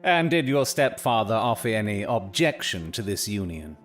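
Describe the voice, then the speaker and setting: An actor playing an incisive, courteous Victorian gentleman detective, formal and probing, in an audio drama. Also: lowish voice, big pitch swings, moderate pace, faint music behind. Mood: attentive. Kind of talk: period audio drama acting.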